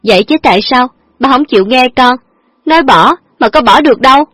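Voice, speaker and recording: A woman voicing a hot-tempered poor young woman who scolds her father in quick, shrill retorts. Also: fairly bright tone, dry recording. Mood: angry scolding.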